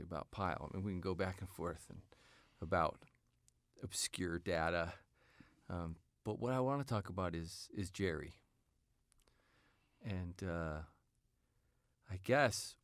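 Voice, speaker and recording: A man's voice: low voice, a bit bright, dry recording, clean studio sound. A man in a casual podcast discussion, talking in informal, loosely rambling phrases.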